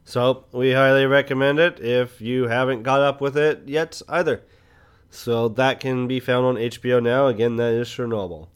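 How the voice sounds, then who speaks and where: A man presenting a film review podcast in a measured, explanatory way, partly from notes. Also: medium pitch, fairly even intonation, clean tone. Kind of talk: semi-scripted podcast presenting.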